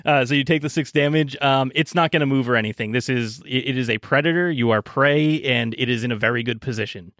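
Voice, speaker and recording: A man's voice: mid-range voice, fast talker, few pauses, a bit bright, dry recording. Man game master, close dry recording. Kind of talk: tabletop game narration and casual chat.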